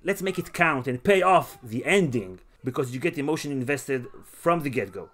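A man's voice: mid-range voice, animated, moderate pace, fairly bright tone. A man hosting a casual podcast movie review, talking in an emphatic, enthusiastic way. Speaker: podcast host.

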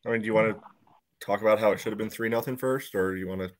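A man's voice: fairly low pitch, fairly even intonation, rapid-fire. A man chatting casually about sports on a video call, padding his phrases with filler words. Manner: peppers speech with "you know". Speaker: sports podcast panelist.

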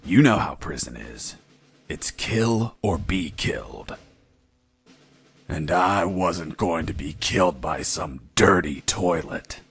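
An actor playing a cocky captured commando pilot in a video game, telling his story in a deadpan, wry way. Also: low-pitched, takes it slowly, slightly rough, exaggerated voice. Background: background music.

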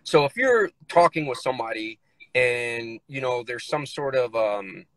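A man in his thirties from the United States talks casually and bluntly on a phone video call from inside a car. His voice sits mid-range, and the sound is dry and close. He speaks plainly and relaxed, with loose, everyday phrasing. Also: medium pitch, unhurried, no echo, rough recording. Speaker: guest.

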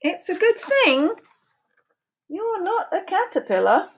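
Lively high-voiced woman reading aloud. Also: slow. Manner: sing-song storytelling, questions to the listener. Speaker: children's book reader. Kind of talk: reading picture books aloud to young children. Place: small room at home, indoors. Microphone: webcam at desk.

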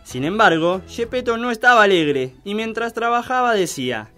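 A man narrating a comic children's story in a theatrical, drawn-out storytelling manner. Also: high-pitched, lively intonation, pushed and bright, faint music behind.

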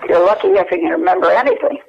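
A woman's voice: fairly low pitch, lively intonation, racing, vocal fry, slight background noise. A woman in her eighties, speaking as an interview guest by telephone, hesitant and searching her memory with many fillers. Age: 80s. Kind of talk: phone interview. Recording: muffled telephone line.

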